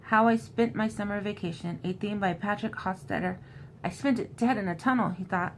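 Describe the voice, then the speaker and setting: A woman's voice: medium pitch, a little fast, slight background noise. A woman in an informal, conversational style, recapping a book and reading passages from it aloud. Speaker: host recapping a horror novel.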